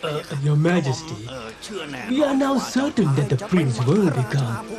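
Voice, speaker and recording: An actor playing an old royal sage in a cartoon, reverent and reassuring, making declarations. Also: male voice, fairly high pitch, sing-song, unhurried, music in the background. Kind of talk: animated film voice acting.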